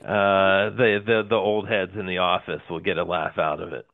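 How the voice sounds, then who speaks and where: A man in his forties with a fairly low voice, co-hosting a casual podcast, hesitant and full of fillers. He sounds thin and phone-like, as if over a call line, and the sound is dry and close. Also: level tone, normal speed, no room sound. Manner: hesitant, many "uh"s, easy laughter. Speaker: podcast co-host. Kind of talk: casual chat.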